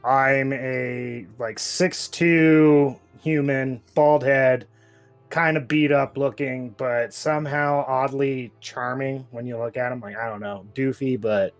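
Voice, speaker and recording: A man's voice: mid-range voice, steady tone, takes it slowly, soft background music. An easygoing man playing in a tabletop game over a video call. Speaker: player.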